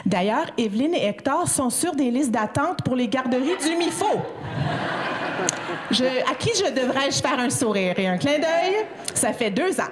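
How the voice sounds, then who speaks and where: A woman from Ottawa, in her thirties, serves as master of ceremonies at a city hall event. Her voice sits a little high, and she keeps a light, joking tone, often laughing between lines. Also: expressive, unhurried, very noisy. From Ottawa, Canada. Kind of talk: ceremony hosting speech.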